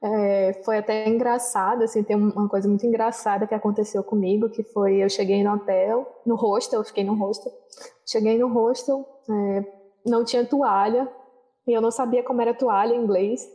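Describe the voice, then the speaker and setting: A young adult woman talks as an interview guest, her voice mellow and soft-edged. She rambles easily through her stories, dotting them with little tag questions. Her pitch sits in the ordinary middle range. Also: fairly even intonation, normal speed. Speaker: guest, social worker. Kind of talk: casual interview storytelling.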